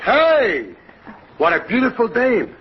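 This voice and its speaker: An actor playing a dim, punch-drunk ex-boxer with a blunt, deadpan New delivery in 1940s radio comedy style. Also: male voice, extremely high pitch, exaggerated voice. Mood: eager. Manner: slow-witted, blunt, deadpan. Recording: dull noisy old radio recording.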